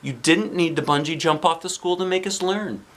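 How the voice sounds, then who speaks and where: A man's voice: a little high, normal speed, a bit bright, pitched above the performer's own voice, lightly acted. A man voicing a bossy, sensible young schoolgirl from a children's storybook in a matter-of-fact, insistent way. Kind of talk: children's storybook character reading.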